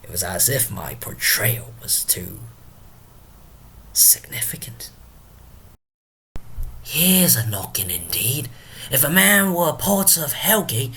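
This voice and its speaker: Drunken comic porter, played by a man: husky, exclamatory, theatrical Shakespearean soliloquy, rambling and dramatic. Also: mid-range voice, big pitch swings, takes it slowly, long pauses, heavily acted. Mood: hushed, ominous. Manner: exclamatory, dramatic, swinging from loud calls to hushed muttering. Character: drunken, rambling comic castle porter playing at hell's gatekeeper.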